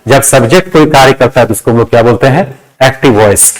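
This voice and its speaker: A man's voice: mid-range voice, lively intonation, quick pace, poor audio. A man teaching in a classroom lecture style, repeating key phrases and asking rhetorical questions in a didactic way. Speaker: English grammar teacher.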